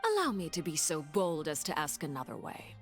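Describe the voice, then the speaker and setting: A woman's voice: sits low, wildly swooping pitch, a little fast, soft background music. An actress playing a coolly polite noblewoman interrogator in a game, formal and silky with veiled mockery. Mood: probing. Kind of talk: anime-style game voice acting.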